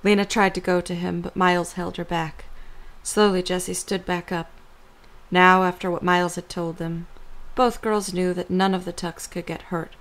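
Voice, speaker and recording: A woman's voice: on the low side, level tone, normal speed. A woman reading scripted story narration for an audio drama as the narrator.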